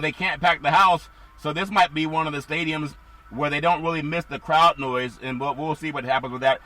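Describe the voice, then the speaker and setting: A man hosting a casual sports talk show, his voice a little scratchy and raspy, rambling through teams before turning to his co-host. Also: a little high, sharp tone. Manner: rambling, asks co-host for thoughts.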